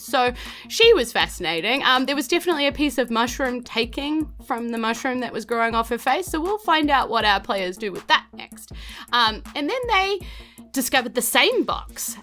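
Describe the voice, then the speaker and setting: A woman's voice: a little high, sharp tone. A woman with a New Zealand accent narrating a tabletop role-playing podcast as game master, with playful, theatrical storytelling.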